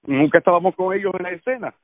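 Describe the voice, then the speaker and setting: A man's voice: high voice, very fast, no echo. Cuban-accented man on a tinny line. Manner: lively storyteller, laughs often. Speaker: actor. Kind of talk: casual radio conversation. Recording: dry phone-line sound.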